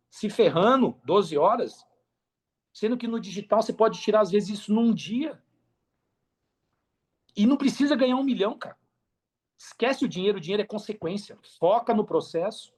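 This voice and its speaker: A man's voice: high-pitched, lively intonation, moderate pace. A Brazilian man speaking casually and earnestly as a podcast guest, repeating phrases for emphasis. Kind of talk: casual interview talk.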